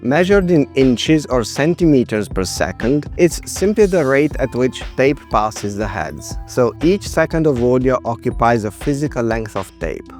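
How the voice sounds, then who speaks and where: A man in his thirties or forties presenting a scripted explainer in a measured, precise way. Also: medium pitch, lively intonation, darkish tone. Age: 30s to 40s. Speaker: video presenter. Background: music.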